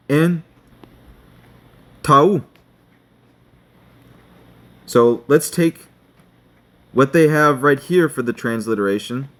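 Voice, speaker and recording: A man walks viewers through his screen in an unhurried tutorial, taking his time. He keeps checking in with them as he goes, in a mid-pitched voice. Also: a bit bright. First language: not Hebrew. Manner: slow, checking in with "okay?". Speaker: online teacher.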